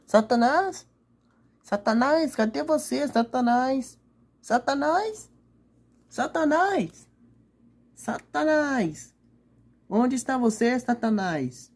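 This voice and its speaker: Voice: high-pitched, lively intonation, exaggerated voice. A performer voicing a frantic old woman in improvised cartoon play, calling out in long wails. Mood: searching. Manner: wailing, drawn-out calls. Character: old neighbour woman frantically seeking her lost dog.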